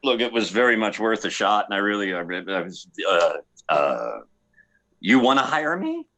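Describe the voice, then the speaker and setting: A man playing a hapless, insubordinate, hard-drinking slacker space pilot in a comic radio play, with halting, stammering delivery. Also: fairly low pitch, sing-song, sharp tone, full character voice. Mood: bumbling, surprised.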